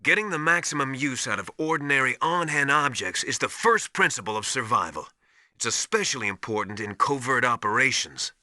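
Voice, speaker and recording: Bright, forceful survival mentor. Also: male voice, mid-range voice, big pitch swings, a little fast, no room sound, studio quality. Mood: matter-of-fact briefing. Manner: instructive, matter-of-fact, encouraging. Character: seasoned male survival instructor, encouraging military mentor. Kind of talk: video game radio briefing.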